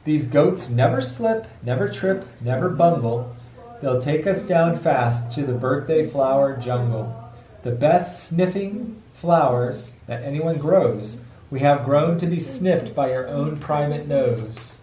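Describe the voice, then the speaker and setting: Echoey, mellow-voiced man reading a storybook. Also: fairly high pitch, animated, slow. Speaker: father reading a picture book aloud to his child. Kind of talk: storybook read-aloud in rhyme. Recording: echoey room with light background noise.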